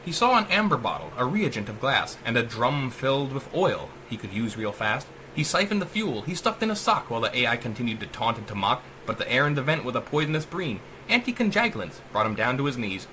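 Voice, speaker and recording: Male narrator, theatrical verse delivery, lively pitch swings, bright forceful tone, faintly noisy recording. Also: a little fast, hardly any pauses. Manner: theatrical, sing-song verse cadence. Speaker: author reading own story. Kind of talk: dramatic rhymed audiobook narration.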